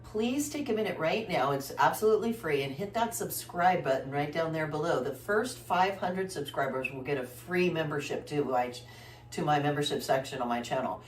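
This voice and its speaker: A woman's voice: low voice, moderate pace, roomy sound, recorded from a distance, a little hiss or hum. A woman host giving a casual weekly tarot reading, congested from a flu, rambling with many fillers and restarts. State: congested, recovering from flu, coughing. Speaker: tarot reader, channel host.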